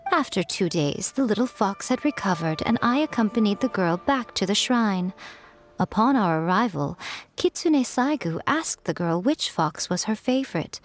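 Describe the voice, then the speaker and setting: A woman's voice: medium pitch, lightly acted. Woman acting teasing, elegant fox spirit shrine maiden. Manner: playful, coy, smug, deliberate phrasing. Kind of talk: polished video game character acting. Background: music.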